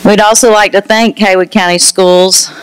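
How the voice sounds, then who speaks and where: Loud, clear-voiced middle-aged woman. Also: medium pitch, steady tone, moderate pace, soft background music, poor audio. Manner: warm, chatty address to the audience. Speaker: lead teacher. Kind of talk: ceremony speech. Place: auditorium.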